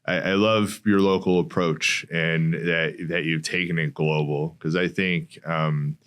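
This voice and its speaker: A man's voice: sits low, steady tone, a little slow, a bit bright, clean tone. A man hosting a local podcast interview, talking earnestly with frequent fillers and restarts. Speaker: podcast host. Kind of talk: conversational interview.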